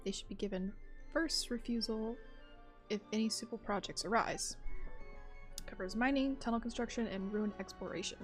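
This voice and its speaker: A woman's voice: a little high, moderate pace. A woman live streaming a video game, chatting casually and reading on-screen text aloud in a playful, thinking-aloud way. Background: faint game music.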